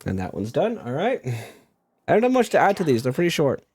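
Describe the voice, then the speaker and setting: A man giving casual, self-deprecating commentary as a video creator while drawing, in a low-key way. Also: wildly swooping pitch, fast talker, a little mellow, husky.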